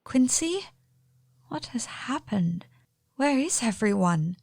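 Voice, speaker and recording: A woman playing a fanciful, haunted yet defiant young gentlewoman in a radio play, with a theatrical, genteel delivery. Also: on the high side, big pitch swings, takes it slowly, creaky, breathy edge, no echo, full character voice. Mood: frightened.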